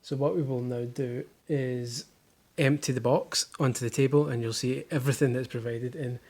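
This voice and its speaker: Gravelly Scottish man, dry jokes. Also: medium pitch, steady tone, moderate pace, a little mellow. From Scotland. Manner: dry jokey asides. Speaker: vlogger unboxing a baby box. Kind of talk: casual chat. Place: living room at home.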